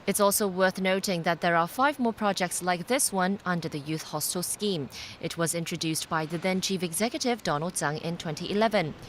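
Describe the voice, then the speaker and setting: A woman's voice: on the low side, brisk, few pauses, a little hiss or hum. A woman narrating a scripted television news report as a reporter.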